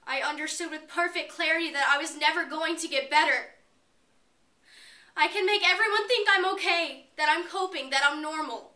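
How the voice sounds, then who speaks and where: A woman's voice: high-pitched, moderate pace, pushed and bright. A woman performing a dramatic stage monologue as a despairing, bitter young college student, emotive and confessional. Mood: despairing, insistent. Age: young adult. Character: despairing suicidal college girl, bitter and pleading. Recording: roomy, distant mic, faint noise.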